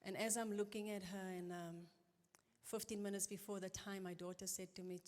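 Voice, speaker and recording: A middle-aged woman giving an earnest, halting and emotional personal testimony at a church conference. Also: medium pitch, flat delivery, a little mellow. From South Africa. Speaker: conference guest speaker. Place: church auditorium. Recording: long reverb of a large church hall.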